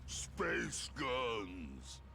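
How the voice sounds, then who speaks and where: A man voicing a huge, dim-witted mutant turtle brute in a video game cartoon, gravelly and grunting in broken sentences. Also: medium pitch, huge pitch swings, drawn-out words, shouting, background noise, exaggerated voice. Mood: gruff, blunt.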